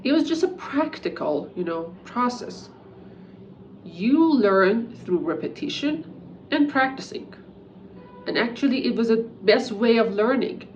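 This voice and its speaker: A woman's voice: a little high, animated, measured pace, dull audio, a little hiss or hum. A young woman whose first language is Persian gives an earnest, encouraging English lesson to camera, with casual fillers. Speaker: English teacher. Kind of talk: talking-head English lesson.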